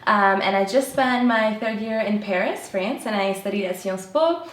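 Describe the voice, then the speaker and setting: A woman's voice: mid-range voice, normal speed. Young woman chatting in echoey room. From Belgrade, Serbia. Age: 20s. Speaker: interview guest, university student. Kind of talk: casual conversational explanation. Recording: roomy, echoey sound.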